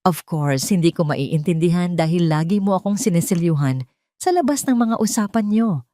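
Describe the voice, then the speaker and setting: A woman's voice: lowish voice, lively intonation, moderate pace, dark tone, no echo, clean studio sound, lightly acted. A woman voicing a haughty, nagging, jealous mistress in a Tagalog audio drama. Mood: resentful, angry.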